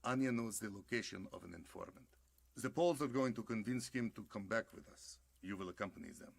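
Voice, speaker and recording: An actor voicing a cold, scheming male Soviet officer who talks slowly, smugly and cynically. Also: on the low side, gravelly. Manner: slow, smug and coldly cynical. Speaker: game cutscene character.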